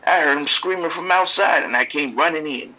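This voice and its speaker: An actor playing a streetwise pimp trapped in a motel bathroom, blunt and grudging with the police. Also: male voice, a little high, fairly quick, sharp tone, dull audio. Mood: shaken. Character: streetwise male pimp hiding from attackers, bitter toward police.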